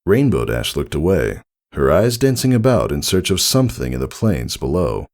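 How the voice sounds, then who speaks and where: A man's voice: a bass voice, very animated, normal speed, darkish tone. A man narrating a scripted story in the style of an audiobook reading.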